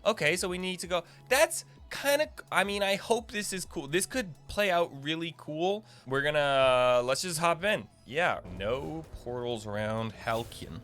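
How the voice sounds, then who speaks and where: A man's voice: on the high side, measured pace, few pauses, fairly bright tone. A young man giving casual, informal game guide commentary, rambling and thinking aloud as he plays. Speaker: gaming video creator. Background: faint game music.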